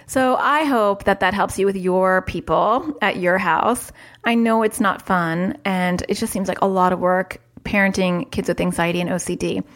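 A woman hosting a solo podcast, talking in a chatty, upbeat way with lively jumps in pitch. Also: moderate pace. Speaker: podcast host, parent.

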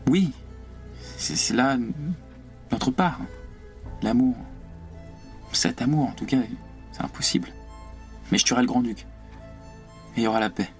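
A man acting a fervent, torn young idealist revolutionary in an audio drama, halting and emotional. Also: medium pitch, soft background music, lightly acted. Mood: resolute, troubled.

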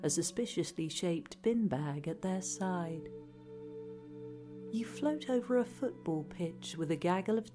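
A woman reading a scripted guided relaxation in a soothing, hushed, deadpan way. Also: fairly low pitch. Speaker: narrator, reader. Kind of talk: scripted guided relaxation narration, parody meditation. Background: music.

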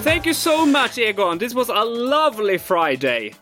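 Lively high-voiced podcast host. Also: male voice, normal speed, loud music behind the voice. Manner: friendly, brief affirmations. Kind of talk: casual interview.

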